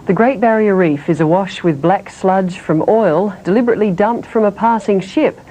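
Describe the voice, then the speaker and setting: A woman presenting a television news bulletin in a measured, even read. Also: mid-range voice, low-quality recording. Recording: old broadcast tape with faint hiss.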